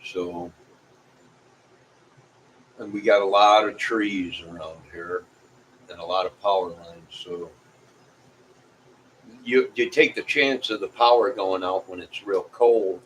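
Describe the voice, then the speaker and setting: Slow, folksy man over background noise. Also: deep voice, poor audio. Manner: folksy, rambling, repeats himself. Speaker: vlogger. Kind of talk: casual chat to viewers.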